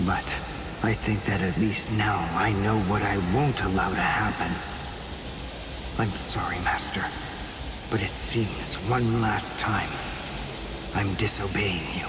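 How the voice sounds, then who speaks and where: An actor playing an earnest, determined young male warrior in an anime game, speaking in a solemn, measured, intense way. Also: on the low side, fairly even intonation, very noisy. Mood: solemn reflection. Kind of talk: English-dubbed anime game acting.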